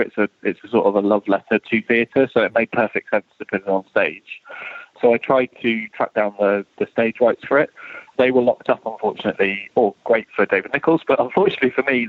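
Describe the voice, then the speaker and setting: A man's voice: medium pitch, dry recording. A man, a theatre director being interviewed, explains things in an articulate, storytelling way over a call. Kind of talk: informal interview, explaining a play. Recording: tinny, narrow phone-like line.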